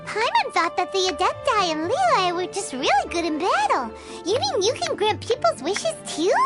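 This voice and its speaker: A tiny female sprite, piercingly high, wildly swooping, bubbly, music behind. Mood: chirpy. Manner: bubbly, excitable, quick. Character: tiny chirpy female floating companion creature. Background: game music.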